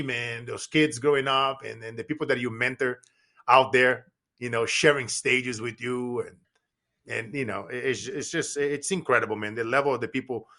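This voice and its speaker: A middle-aged man from Brazil, guesting on a podcast as a business coach. His voice is bright and a little husky, with a forceful, tense edge. He talks emphatically and keeps restarting his sentences. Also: mid-range voice. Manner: animated, repetitive restarts, emphatic. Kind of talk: casual podcast conversation.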